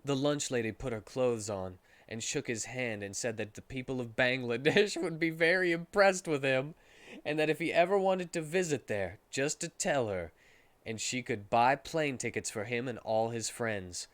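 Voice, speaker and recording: A man's voice: medium pitch, normal speed, no echo. A man reading a story aloud in a mock-dramatic narrating style, as a streamer.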